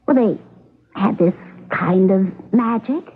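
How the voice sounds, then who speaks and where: A woman's voice: mid-range voice, takes it slowly, slightly rough. A woman playing a brash, vain, self-assured young actress under suspicion in a radio mystery. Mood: boastful, scheming. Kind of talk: radio mystery drama acting. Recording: muffled lo-fi old radio broadcast.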